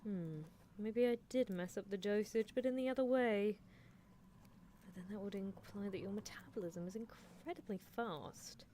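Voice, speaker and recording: A woman's voice: mid-range voice, normal speed, dark tone, a little hiss or hum, natural voice. A woman voicing a playfully menacing, teasing female mad scientist in a roleplay ASMR stream, musing theatrically. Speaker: livestreamer. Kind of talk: roleplay ASMR livestream, answering chat in character.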